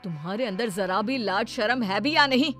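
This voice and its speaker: A woman's voice: mid-range voice, animated, normal speed, a bit bright, faint music behind. A woman voicing a dutiful, stern young husband, firm and protective, in a cartoon storybook tale. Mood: angry, scolding. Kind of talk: Hindi cartoon storybook acting.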